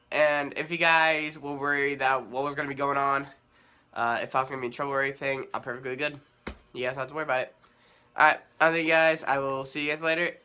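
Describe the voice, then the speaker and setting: A man's voice: medium pitch, pushed and bright, smooth. A young man giving a rambling, hesitant vlog update while sick with a cold, his voice slightly nasal. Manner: rambling, hesitant, many ums and restarts. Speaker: vlogger.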